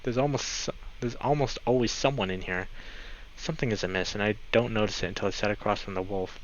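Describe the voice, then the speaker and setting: A man's voice: fairly low pitch, fast talker, slight background noise. A man narrating a visual novel aloud for a let's play, occasionally stumbling and correcting himself. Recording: slightly dull mic, music behind. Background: clear game music.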